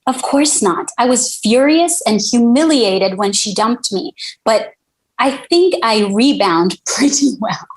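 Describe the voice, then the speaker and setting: A woman's voice: fairly high pitch, animated, takes it slowly, low-quality recording. A woman playing an irritable, defensive music mogul in a table read, with a casual, hesitant delivery. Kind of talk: scripted table read acting.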